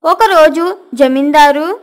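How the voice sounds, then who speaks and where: High-pitched woman storyteller. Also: slow. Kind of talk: scripted children's moral story narration.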